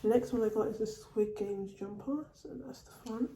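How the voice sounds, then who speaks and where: Woman, home vlogger, very dark tone, recovering from a cold, roomy echo. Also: a little high, moderate pace, a little hiss or hum. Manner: chatty, repeats and restarts phrases. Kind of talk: casual haul video. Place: at home, indoors.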